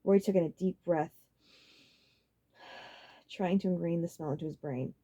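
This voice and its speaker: A woman reading a story aloud as an audiobook narrator, in an expressive, dramatic style. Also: fairly low pitch, unhurried, dull audio.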